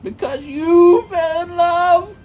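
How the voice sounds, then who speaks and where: A woman's voice: very high-pitched, slow pace, darkish tone, muffled recording. A woman voicing a miserly, grumpy old man in a storybook reading, curt, scornful and dismissive. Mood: incredulous, disgusted.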